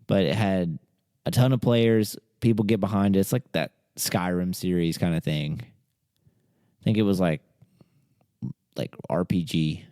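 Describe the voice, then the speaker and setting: A man's voice: sits low, a little slow, long pauses, mellow, no room sound, studio quality. A man in his thirties hosting a podcast in casual conversation, running a quiz for his co-host. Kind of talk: casual chat, quizzing a co-host.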